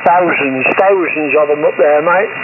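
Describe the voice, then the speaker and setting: A high-voiced man comes through a narrow shortwave radio link with heavy noise. He chats casually as an amateur operator, repeating himself for emphasis and calling people mate. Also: normal speed. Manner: chatty, repeats words for emphasis, calls listeners mate. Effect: shortwave ham radio transmission. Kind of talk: casual chat over ham radio. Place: received over HF amateur radio.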